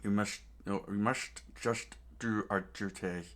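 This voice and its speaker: A loyal guard captain, voiced by a man who speaks firmly and with authority. His low voice sounds steady and commanding. It sounds like a storybook retelling. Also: takes it slowly. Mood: troubled. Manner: firm and commanding.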